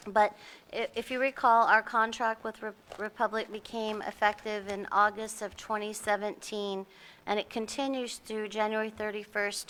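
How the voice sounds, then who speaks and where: A woman's voice: mid-range voice, fairly bright tone, clear, resonant tone. A woman from town staff presenting an agenda item to the council, explaining it with frequent fillers.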